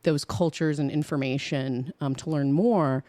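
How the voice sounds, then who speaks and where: A woman co-hosting a podcast, talking casually and reflectively, pausing to search for her words. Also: sits low, mellow, dry recording. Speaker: podcast co-host.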